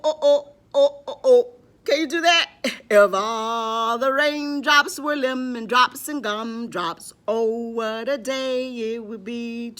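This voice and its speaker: A woman's voice: high-pitched, sharp tone. A woman hosting a children's storytime, playful and encouraging, speaking simply to little kids and often repeating short phrases.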